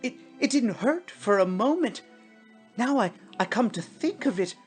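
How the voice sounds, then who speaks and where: A woman voicing a fussy, bewildered, stammering gentleman ghost in a storybook reading. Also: a little high, animated, normal speed, faint music behind, voice pushed up, natural voice. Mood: bewildered, indignant. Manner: stammering, flustered, prone to exclaim. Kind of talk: theatrical storybook character voice.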